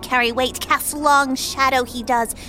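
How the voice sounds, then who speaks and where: A woman's voice: high voice, steady tone, unhurried, pushed and bright, slight background noise. A woman voicing a hulking, hooded, rash-covered corpse collector in a fantasy audio drama, speaking in clipped, backwards, repetitive phrases with a chirped verbal tic. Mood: matter-of-fact, simple. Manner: clipped, inverted, repetitive broken speech, ending on a chirped tic.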